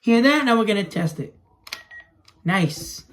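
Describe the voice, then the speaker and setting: A young adult man narrates what he is doing in a casual home vlog. His pitch moves around in a lively way. There is faint noise and clicking as he handles things in a small room. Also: unhurried. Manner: narrates own actions while handling toys. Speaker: toy video creator. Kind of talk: casual hands-on demonstration vlog. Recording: noisy home recording with clicks.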